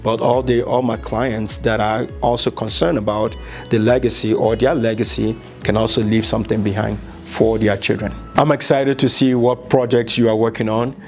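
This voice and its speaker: A middle-aged man from Liberia, talking earnestly about his insurance business, measured and with frequent fillers. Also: fairly low pitch, level tone, a little mellow, soft background music. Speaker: insurance agent. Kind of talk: business promo interview.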